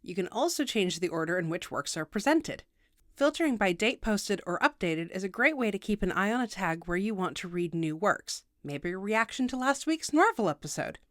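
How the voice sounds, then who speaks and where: A woman's voice: mid-range voice, expressive, normal speed, no room sound. A woman with a mid-range voice narrates a scripted how-to guide. She is precise and instructional, slipping in wry asides. The sound is very dry, with little room to it. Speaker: video tutorial host.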